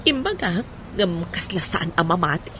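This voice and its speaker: A woman's voice: fairly low pitch, big pitch swings, moderate pace, noisy background. A woman playing a proud, grateful middle-aged village mother of modest means in an Ilocano radio comedy drama. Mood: warm pride.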